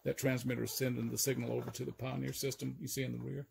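An older man giving an informal, hands-on equipment demonstration, explaining step by step in a halting, methodical way. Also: mid-range voice, little pitch movement, creaky. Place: indoor room full of audio gear. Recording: loud noise and electrical hum.

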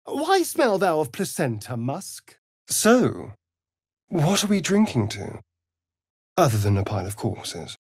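An actor playing a vain, sardonic vampire rogue in a fantasy video game, with an arch, drawling, theatrical delivery. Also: male voice, a little high, wildly swooping pitch, takes it slowly, slightly rough, no echo, clean studio sound, full character voice. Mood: dry, flippant.

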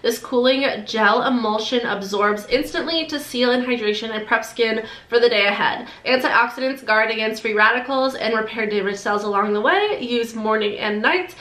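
A woman's voice: fairly high pitch, a bit bright, reverberant. A young woman in her twenties with a nasal, congested voice, chatting casually and gushing through a product unboxing to camera. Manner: bubbly, gushing, quick asides. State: sore throat, congested. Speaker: beauty vlogger. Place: decorated bedroom, indoors.

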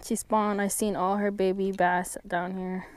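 A woman's voice: medium pitch, little pitch movement, a little slow, clear, resonant tone, no echo. A woman chatting casually to her viewers while fishing, laughing often, filmed on a head-mounted camera outdoors at night. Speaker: vlogger. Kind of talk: casual fishing vlog chat.

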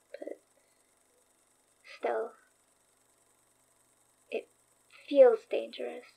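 Creaky-voiced, thoughtful young investigator. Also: female voice, fairly high pitch, a little mellow, low-quality recording. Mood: reflective, uneasy. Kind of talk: audio drama acting, recorded-diary monologue.

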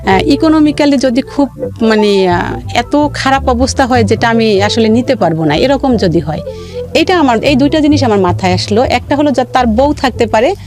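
A woman with a Bangladeshi accent telling her own life story in an interview, in a halting, detailed way with repeated phrases. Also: on the high side, animated. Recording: music playing behind the voice. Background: background music.

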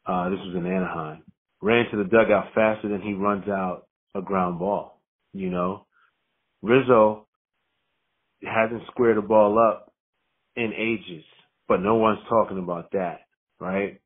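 A man's voice: low-pitched, steady tone, unhurried, pauses often, breathy edge. A man calling in with a casual sports gripe left on voicemail. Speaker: caller. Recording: tinny, narrow phone voicemail.

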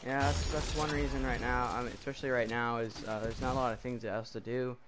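A thirteen-year-old boy with a low voice, talking hesitantly during a game. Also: fairly even intonation, fast, music in the background. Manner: low voice, hesitant, many restarts. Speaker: teen gamer. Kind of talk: casual gaming chat. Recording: clicking noise behind.

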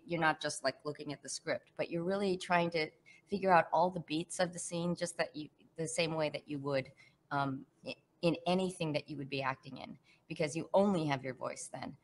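Middle-aged actress, chatty and animated, slightly low voice, home video call. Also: female voice, moderate pace. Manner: chatty, warm, animated, laughs often. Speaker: actress answering fan questions. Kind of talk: casual virtual panel Q&A. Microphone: headset on video call.

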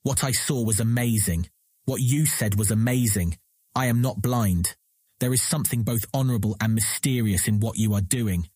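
An actor playing a captured thief, earnest, proud and eloquent, speaking formally and measuredly in a fantasy audio drama. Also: male voice, fairly low pitch, moderate pace, darkish tone, breathy edge, no echo. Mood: earnest pleading.

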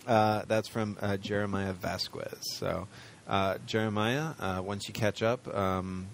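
A man hosting a fan podcast, talking loosely and padding his sentences with fillers. His voice sits low with a gravelly edge. A faint noise runs under the recording. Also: fairly even intonation, measured pace. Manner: rambling, many fillers. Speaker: podcast host. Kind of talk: casual chat.

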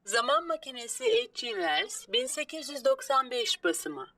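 Swooping cartoon digital assistant. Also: female voice, sits high, wildly swooping pitch, moderate pace, recorded from a distance, noisy background. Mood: neutral. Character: obliging, literal-minded digital assistant. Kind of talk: animated children's cartoon dub.

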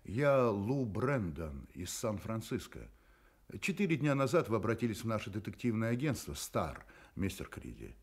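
An actor playing a shrewd, persistent private detective in a radio play. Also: male voice, lowish voice, big pitch swings, normal speed, breathy edge. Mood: firm, polite. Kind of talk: radio play acting with inner monologue.

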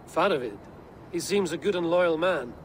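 An actor playing a stoic, honour-bound Viking warrior in a video game, speaking in a measured, solemn, terse way. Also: male voice, a little high, lively intonation, noisy background, close to an everyday voice. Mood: calm, questioning. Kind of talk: dramatic video game acting.

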